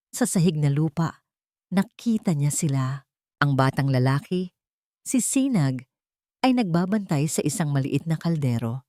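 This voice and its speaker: A low-voiced woman reads a scripted dramatic story. The sound is dry and close, with very little room around her. She delivers it as a storyteller narrating an audio drama. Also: mellow, dry recording.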